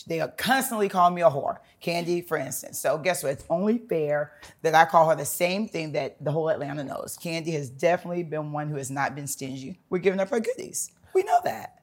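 A woman, a guest trading bawdy gossip, blunt and animated, her voice jumping high when she gets worked up. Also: fairly quick, hardly any pauses. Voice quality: raspy. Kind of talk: casual gossip interview.

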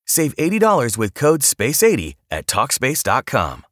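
Male ad reader, highly animated intonation, dry close sound. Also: moderate pace, no room sound. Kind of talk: scripted sponsor read.

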